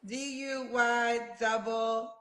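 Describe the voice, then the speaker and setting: A woman interviews a guest on a dating show in a chatty, familiar way, calling her honey and child. Her voice is high and bright. It is recorded in an echoey room. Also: little pitch movement, slow. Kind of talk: casual interview.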